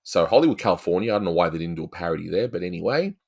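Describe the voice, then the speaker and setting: A man's voice: sits low, lively intonation, very fast, vocal fry, darkish tone, slightly rough. A man with an Australian accent giving casual, rambling, chatty commentary as the host of a review show. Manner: rambling, chatty, peppered with "you know".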